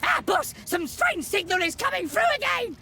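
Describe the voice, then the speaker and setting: An actor playing a small, eager, servile goblin-like underling in a video game, shrill and excitable. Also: male voice, normal speed, gravelly, faint music behind, heavily acted. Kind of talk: video game character acting.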